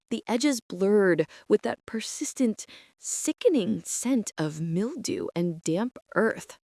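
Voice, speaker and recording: A woman performs a traumatized archivist who broods over her buried past in a horror audio drama. She speaks very slowly and deliberately, at a middling pitch for a woman. The sound is dry and close, with a meticulous, haunted feel to every phrase. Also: darkish tone, no echo. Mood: guarded, bitter. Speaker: narrator and lead character of an audio drama. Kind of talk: dramatic first-person horror narration.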